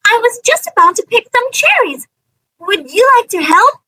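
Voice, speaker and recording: A woman's voice playing an excited, friendly little girl cartoon character in a scripted greeting, played back slightly away from the mic. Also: very high-pitched, moderate pace, no room sound, exaggerated voice, rough recording. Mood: excited, sweet.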